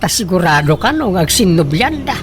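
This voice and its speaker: A woman's voice: fairly low pitch, sing-song, a little fast, heavy background noise. A woman playing a meddling older relative in a radio drama, chatty and persuasive as a matchmaker. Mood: insistent.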